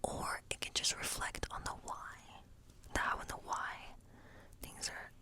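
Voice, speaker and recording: Woman playing a whispering, gentle tarot witch. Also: unhurried. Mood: gentle, encouraging. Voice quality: breathy, unvoiced whisper. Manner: rambling, gentle, lingering over phrases. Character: friendly, chatty woodland witch reading tarot cards. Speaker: ASMR role-play performer. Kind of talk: whispered ASMR role play.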